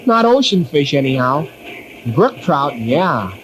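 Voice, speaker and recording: An actor playing an eager, curious young ranger with a boyish manner in an old time radio drama. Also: male voice, a little high, sing-song, slow pace, fairly bright tone, a little hiss or hum, exaggerated voice, low-quality recording. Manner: inquisitive, boyish.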